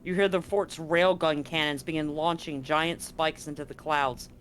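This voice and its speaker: A game master narrating a live tabletop sci-fi game in a halting way, pausing mid-phrase. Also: medium pitch, fairly even intonation, fairly bright tone. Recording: music under the voice. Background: background music.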